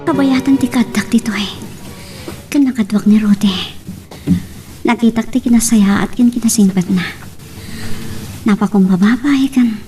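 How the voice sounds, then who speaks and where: A woman's voice: on the high side, a little slow, pauses often, over music, lightly acted. A woman with an Ilocano accent playing a heartbroken, sincere young woman in an amateur romantic audio drama. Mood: sorrowful, tender.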